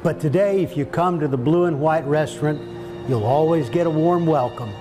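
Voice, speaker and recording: An older man narrating a local TV feature story in a measured, folksy storytelling way. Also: a little high. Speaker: TV feature host and reporter. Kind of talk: scripted news narration. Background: music.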